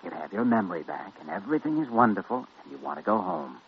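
A firm but kindly physician in an old radio play. His fairly deep, gravelly voice moves with earnest, soothing care. The audio is dull and faintly noisy. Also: sing-song, fast. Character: firm, caring male hospital doctor, protective of patient. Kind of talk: 1940s radio drama acting. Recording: muffled, hissy old radio recording.

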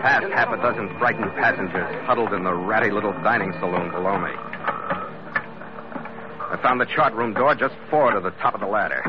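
Male actor as tough sea skipper, rapid clipped speech, hard-boiled, impatient, vintage radio play, noisy sound. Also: on the low side, natural voice. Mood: tense narration. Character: brisk, blunt, tough ship's captain and narrator. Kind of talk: 1940s radio acting, hard-boiled narration. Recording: noisy, muffled old radio drama recording.

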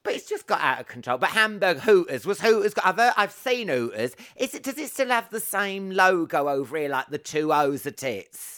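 A man hosting a podcast, telling stories in a camp, animated way with frequent laughs and swearing. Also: high voice, normal speed, hardly any pauses. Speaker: podcast host. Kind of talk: casual chat.

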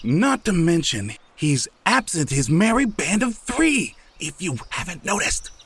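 An actor playing an excitable, quippy male forest adventurer, theatrical and wry, in a comedic fantasy audio drama. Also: high voice, measured pace, creaky, husky, full character voice.

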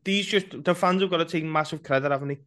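Casting: male podcast host, slightly high voice, colloquial and enthusiastic fan talk. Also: fast, a bit bright. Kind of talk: casual fan chat.

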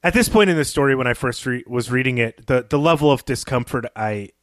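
A man of medium pitch talks as a podcast co-host. His delivery is measured and articulate, with slightly formal phrasing as he analyses fiction. The sound is dry and close. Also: no room sound. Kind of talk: analytical literary discussion.

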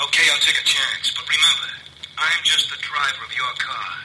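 An actor playing a tense underworld figure in an old radio crime drama, heard through a hissy phonograph playback. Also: male voice, a little high, moderate pace, sharp tone, rough recording. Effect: played back through a phonograph.

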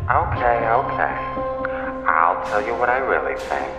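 Music plays under a dull, heavily echoing recording of an actor as a sadistic male killer from an audio drama. He taunts slowly and haltingly, with sudden swings of pitch, sounding unhinged. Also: big pitch swings. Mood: taunting. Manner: slow, taunting, halting delivery.

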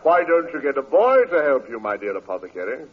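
A man's voice: medium pitch, very animated, a little fast, close to an everyday voice. A man playing a pompous, greedy older uncle in a 1940s radio comedy, speaking in flowery, self-important phrases. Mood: lofty, wounded. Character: idle, pompous, freeloading old uncle, grandiloquent and greedy. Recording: dull old radio broadcast recording.

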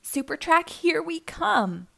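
A woman's voice: very high voice, sing-song, slow pace, creaky, a bit bright, pitched above the performer's own voice, heavily acted. A woman voicing a proud, friendly male monster truck stunt racer with a keen manner in a children's storybook. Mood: excited. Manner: keen, exclaiming.

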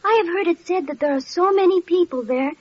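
A woman playing a gentle, earnest young boy in a classic radio drama, with solemn, simple archaic delivery. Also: very high voice, fairly quick. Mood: quietly certain. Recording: dull, noisy old radio recording.

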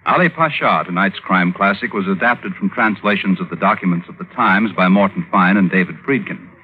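A male announcer reads credits in vintage radio style with a fairly low voice. The recording is thin and phone-like, with faint noise. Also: brisk.

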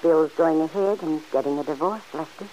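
Actress as earnest older aunt, hissy old radio. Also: female voice, low-pitched, brisk, lo-fi sound, lightly acted. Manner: gently chiding, earnest. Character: kindly, principled older aunt, earnest and forgiving. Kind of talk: old-time radio serial acting.

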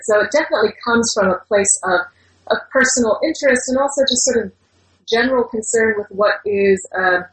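A woman's voice: mid-range voice, fairly bright tone, low-quality recording. A woman from Indonesia speaking as a scholar in an interview, explaining her work in an articulate, discursive way. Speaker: interview guest, scholar and book author. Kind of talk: academic interview, explanatory talk.

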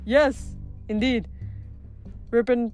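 A woman's voice: a little high, fairly even intonation, very slow, darkish tone, faint music behind, voice pushed up. A woman voicing a simple, nervous male Titan giant who is hesitant and eager to agree, in a storybook reading. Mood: uneasy cheer.

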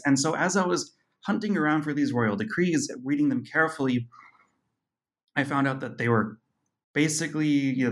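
A man of middle pitch talks through his story in a loose, rambling way. He is full of ums, restarts and small self-amused laughs as he answers questions about his book. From Costa Rica. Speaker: author guest. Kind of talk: casual interview talk.

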